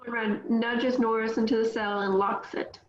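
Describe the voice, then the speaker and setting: A woman's voice: a little high, level tone, moderate pace, slight background noise. A woman reading scripted stage directions as narrator at a table read, in roomy, slightly dull audio.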